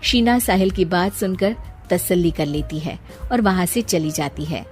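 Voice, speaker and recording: A woman's voice: on the low side, animated, moderate pace, over loud music. A woman reading a scripted story as its storyteller.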